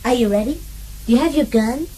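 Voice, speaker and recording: A woman's voice: on the high side, fairly quick, background noise, natural voice. A woman playing an eager American woman adventurer in a comedy radio play, with an American accent. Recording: radio taped to cassette, music and crowd.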